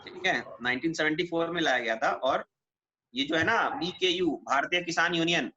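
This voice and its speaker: A man's voice: fairly high pitch, normal speed, bright, forceful tone. A man teaching a class in a conversational question-and-answer style, often checking that students follow. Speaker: teacher at a defence exam coaching class. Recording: muffled classroom recording with background noise.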